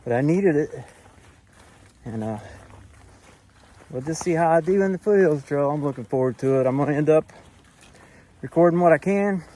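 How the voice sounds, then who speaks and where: A man from Florida, vlogging casually while hiking, out of breath and a little hoarse, recorded on a handheld camera outdoors. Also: a little high, animated, normal speed, long pauses, warm tone. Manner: breathing hard, winded while hiking uphill. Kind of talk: casual vlog narration to camera. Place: outdoors on a forest hiking trail.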